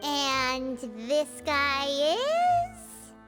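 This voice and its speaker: A woman voicing a tiny, cheeky fairy companion girl in a video game, with a squeaky childlike voice and an exclamatory manner. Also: wildly swooping pitch, drawn-out words, heavily acted. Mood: curious. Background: background music.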